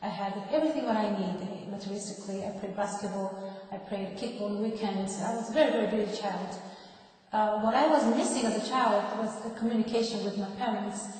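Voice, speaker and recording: A woman in her thirties from Japan with a Japanese accent, speaking in her second language and often pausing to search for words as she tells her life story. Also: mid-range voice, a little mellow. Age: 30s. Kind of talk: personal testimony. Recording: echoey reverberant room, distant mic.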